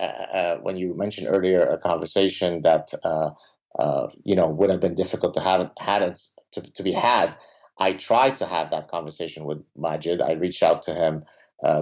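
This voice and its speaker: A man from Lebanon, a native Arabic speaker, talking in a conversational interview, often pausing on fillers, then stressing points with emphasis. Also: low-pitched, darkish tone. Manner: hesitant with many fillers, emphatic. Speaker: podcast guest, professor. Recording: dull lo-fi sound.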